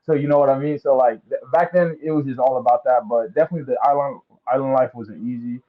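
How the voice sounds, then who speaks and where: A man's voice: mid-range voice, fairly even intonation, fast talker. Young man on a tinny call line. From American Samoa. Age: young adult. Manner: laid-back, many "you know" fillers. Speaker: football recruit guest. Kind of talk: casual interview.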